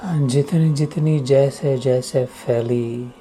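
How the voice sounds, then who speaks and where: A male meditation teacher delivers a devotional discourse in a warm, relaxed voice of medium pitch. He moves extremely slowly and solemnly, setting down short, deliberate phrases with long pauses, while music plays behind him. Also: low-quality recording. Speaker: spiritual teacher leading guided meditation. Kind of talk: slow devotional meditation discourse with chanted verses.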